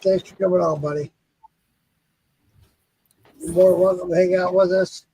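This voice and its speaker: A man hosting a casual live podcast who is a bit tipsy from drinking, warm in his thanks and earnest as he repeats them. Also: high-pitched, steady tone, normal speed, breathy edge, low-quality recording. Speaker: podcast host.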